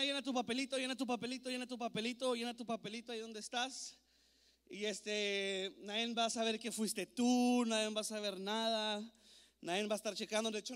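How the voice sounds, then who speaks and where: A man's voice: very high-pitched, bright, forceful tone, smooth. A man in his thirties from Mexico, raised in Texas, preaching a playful, joking youth sermon on a handheld mic in a large church auditorium. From born in Mexico, raised in Texas. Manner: playful, joking, coaxing the crowd. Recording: echoey hall recording.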